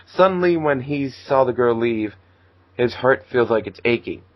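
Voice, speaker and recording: A man's voice: medium pitch, lively intonation, normal speed, dry recording, dull audio. A man reading fan fiction aloud as narrator, in a casual amateur style.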